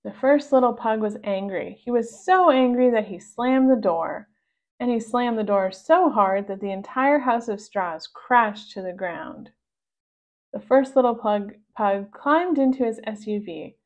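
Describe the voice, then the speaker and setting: A woman reading a children's storybook aloud in a measured narrating style for young listeners. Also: on the high side, darkish tone. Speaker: storybook reader. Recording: dull, lo-fi sound.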